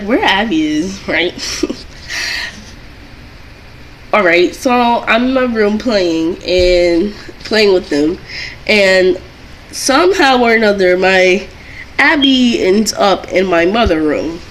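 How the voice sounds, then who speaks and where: A young woman chats casually in a storytime vlog style, with a middling pitch. She rambles and circles back over what she has said. There is a faint layer of noise and room ambience behind her. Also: expressive, slow pace, poor audio. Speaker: doll collector vlogger telling a story.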